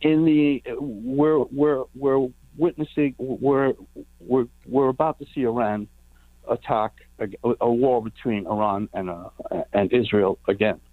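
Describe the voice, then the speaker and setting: A man's voice: mid-range voice, steady tone, unhurried, no room sound. A man calling in to a radio talk show, hesitant, restarting his sentences often. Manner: hesitant, many restarts and fillers. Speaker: caller. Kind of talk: call-in question on talk radio. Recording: tinny phone line.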